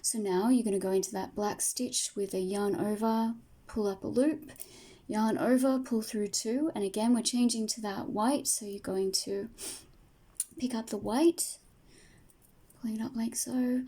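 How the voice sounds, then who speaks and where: A woman teaching crochet step by step, patient and soft-spoken, her voice tired and fading from a long day of talking. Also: medium pitch, husky. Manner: soft, patient, talks through each stitch. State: losing her voice. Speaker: crochet tutor. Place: home table, indoors.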